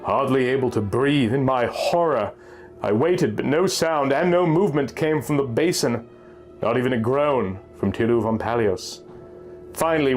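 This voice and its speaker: A man reading a first-person pulp horror story as a narrator, in a formal, measured, dramatic literary style. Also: mid-range voice. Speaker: audiobook narrator. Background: music.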